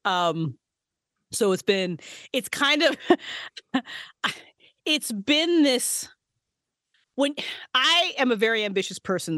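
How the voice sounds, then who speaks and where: A woman voice actress and writer, a podcast guest, talking excitedly and swearing freely about her projects. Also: medium pitch, no echo, clean studio sound. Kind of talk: casual podcast interview. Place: small handmade vocal booth.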